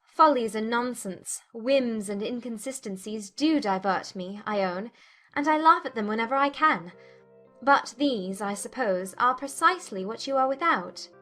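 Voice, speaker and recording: Woman playing young Regency gentlewoman, fairly high voice, playful, witty, teasing, articulate, audiobook drama, soft music behind. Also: lively intonation, moderate pace. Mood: amused, arch. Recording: music bed under the voice.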